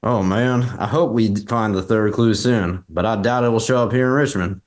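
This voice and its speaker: A man's voice: on the low side, moderate pace, natural voice. A man playing an earnest, inquisitive amateur sleuth in a scripted table read. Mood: worried.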